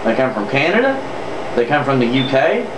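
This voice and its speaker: A man's voice: mid-range voice, sing-song, brisk. A man with a Southern US accent delivering an off-the-cuff political monologue in a sarcastic, emphatic way, repeating phrases for effect. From southern United States. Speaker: political vlogger. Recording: roomy, distant mic, noticeable air-conditioner-like noise.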